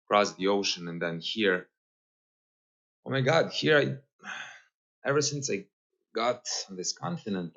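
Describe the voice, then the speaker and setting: Low-pitched Serbian man, slightly muffled. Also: a bit bright. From Serbia, Novi Sad. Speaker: podcast guest, holistic guide. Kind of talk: casual conversational interview.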